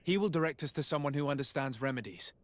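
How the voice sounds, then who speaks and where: A man's voice: a little high, expressive, quick pace, slight background noise, lightly acted. A man playing a courteous, composed noble envoy in video game cutscene dialogue. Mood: calm, polite.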